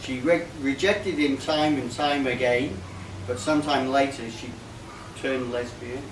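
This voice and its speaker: A man with a mid-pitched voice reads humorous verse aloud to a party crowd. He takes his time over each rhymed line. He is heard from a distance, with clear background noise. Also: steady tone, a little slow. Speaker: reader of a birthday tribute poem. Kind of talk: reading a comic rhyming poem aloud to a live gathering. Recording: echoey, noisy room recorded from afar.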